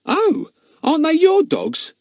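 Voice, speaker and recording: A fussy, good-natured boy exclaims in a high, flustered voice, put on by a man reading aloud. The pitch jumps widely, and the sound is close and dry. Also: sing-song, normal speed, no echo. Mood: innocent. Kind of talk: audiobook character voice.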